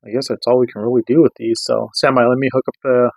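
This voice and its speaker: A man's voice: medium pitch, flat delivery, fast, vocal fry, darkish tone, no echo. A man giving a casual, hands-on gear review, explaining informally and often checking in with the listener. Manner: informal, loosely explanatory, rhetorical check-ins. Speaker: host of a radio gear review channel.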